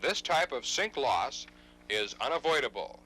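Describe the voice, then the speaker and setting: Male instructional narrator. Scripted, formal, precise delivery, animated pitch, crisp forward brightness. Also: a little slow, faint music behind, low-quality recording. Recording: 1970s instructional videotape narration.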